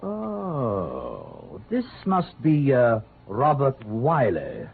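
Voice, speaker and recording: An actor playing a suave, patronising gentleman swindler, mockingly polite, in an old radio drama. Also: mid-range voice, wildly swooping pitch, extremely slow, creaky, breathy edge, full character voice. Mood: amused, taunting. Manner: urbane, drawling endearments, mockingly polite. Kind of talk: 1940s-50s radio drama acting. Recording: tinny, noisy old radio recording.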